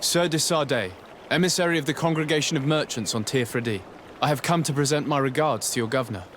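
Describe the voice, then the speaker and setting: A man playing a dignified noble diplomat in a fantasy game, formal and measured, courteous but firm. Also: medium pitch, fairly bright tone, a little hiss or hum, lightly acted. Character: composed, dignified young noble diplomat, male. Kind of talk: fantasy video game acting.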